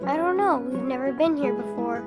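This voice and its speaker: A man's voice: very high-pitched, a little fast, loud music behind the voice. An actor voicing an imaginative ten-year-old boy who plays out adventures with his toys and talks to himself in a game. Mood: excited play. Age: child figure. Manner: excited, playful, talks to himself. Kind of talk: video game voice acting.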